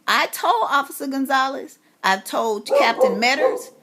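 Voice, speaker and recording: A woman's voice: high-pitched, sing-song, unhurried, a bit bright. An aggrieved woman making a long complaint on a phone call, speaking insistently and emphatically. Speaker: caller, parent making a complaint.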